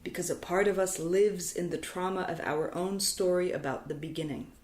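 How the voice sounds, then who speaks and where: A woman storyteller delivering poetic scripted narration in a solemn, deliberate way, with dramatic phrasing and emphatic repetition. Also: lowish voice, darkish tone. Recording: echoey, reverberant sound with music behind. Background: music.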